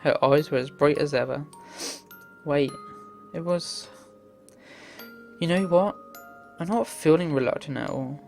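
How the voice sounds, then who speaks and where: A man's voice: a little high, measured pace, creaky, pauses often, darkish tone. A man reading visual novel text aloud in an earnest, theatrical way as a game playthrough host. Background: game music.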